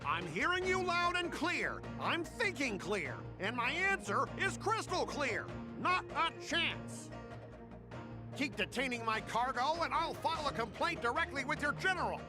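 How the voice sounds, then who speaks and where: Shrill, blustering cargo worker. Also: male voice, sing-song, a little slow, over music, exaggerated voice. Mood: defiant. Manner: indignant, blustering, emphatic. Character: irate, stubborn male cargo-company worker, loud complainer. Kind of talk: video game voice acting.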